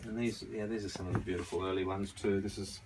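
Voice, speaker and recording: Halting man reminiscing over noise. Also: low-pitched, fairly even intonation. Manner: halting, searching for words. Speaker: storyteller showing family photo archive. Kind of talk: unscripted reminiscing, casual chat.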